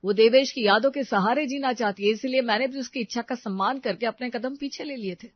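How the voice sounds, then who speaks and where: A woman's voice: a little high, a little fast, no echo, close to an everyday voice. An earnest young man, voiced by a woman, argues with impassioned, righteous insistence in a family melodrama. The recording is dry and a little muffled. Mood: defensive. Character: earnest, indignant young man defending a wronged widow. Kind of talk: Hindi audio story melodrama.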